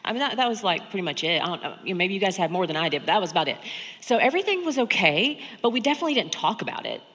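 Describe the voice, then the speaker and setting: A woman's voice: fairly low pitch, very animated, very fast, fairly bright tone. A woman in her thirties from Georgia with an American accent, sharing personal stories candidly and conversationally as a seminar speaker. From Georgia, United States. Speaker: seminar speaker and sex therapist. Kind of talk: informal seminar talk, personal storytelling. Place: on stage in an auditorium, seated at a high table. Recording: echoey hall, stage sound.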